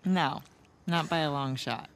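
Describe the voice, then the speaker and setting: A woman's voice: low voice, unhurried, a little hiss or hum. An actress playing a dry-witted, level-headed American adventurer woman in a video game, with an understated, teasing manner. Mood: tender, teasing. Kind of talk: cinematic video game acting, naturalistic.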